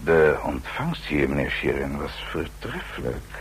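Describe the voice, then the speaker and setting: A man's voice: rumbling low, sing-song, a little slow, full character voice. An actor playing a fascist state's henchman in a radio drama, formal and menacingly polite, on a noisy old recording. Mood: smug. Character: coldly courteous male henchman of a fascist state.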